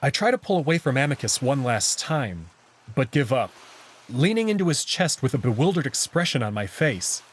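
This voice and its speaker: Husky, expressive male story narrator. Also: mid-range voice, moderate pace. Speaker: narrator reading a visual novel aloud.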